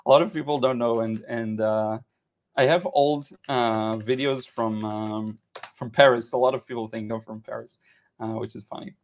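A man in his thirties from Israel, teaching watercolor painting on a live stream in a relaxed, chatty way with many fillers and restarts, recorded in a home studio on a headset mic. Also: mid-range voice, moderate pace. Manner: relaxed, chatty, frequent "uh" and repeated words. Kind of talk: live-stream painting lesson with chat replies.

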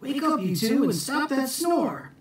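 A man's voice: very high-pitched, big pitch swings, measured pace, voice pushed up, full character voice. A man voicing a spirited young country boy who calls out emphatically in a storybook. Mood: bossy, insistent. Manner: loud, emphatic calling out. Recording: echoey room, distant phone mic.

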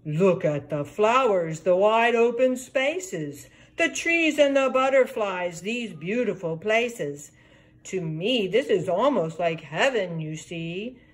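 An older woman voicing a chubby, contented male frog in a rhyming storybook, unhurried and serene. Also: mid-range voice, lively intonation.

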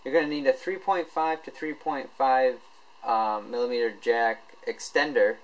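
A man presenting a tech tutorial in a casual, informal, unscripted way, halting as he explains each step. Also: medium pitch, fairly even intonation, low-quality recording.

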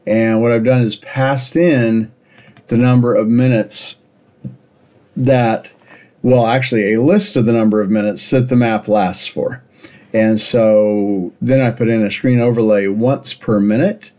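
A man casually walking through a software demo over a screen recording, with plenty of ums and uhs, for his ham radio hobby. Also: mid-range voice, measured pace. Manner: relaxed, filler-heavy explaining.

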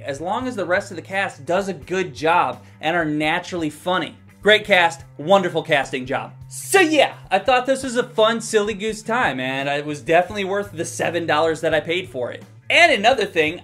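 A man in his thirties with a fairly high voice talks to camera as a film reviewer. He is conversational, comic and animated, and swears casually. Music plays quietly behind him. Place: small indoor room. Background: faint background music.

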